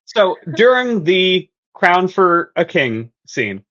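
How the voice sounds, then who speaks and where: Casual female panelist, theatrical and playful, slow delivery with lively intonation, slightly muffled recording. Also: fairly low pitch. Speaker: guest panelist. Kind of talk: casual fan theory discussion.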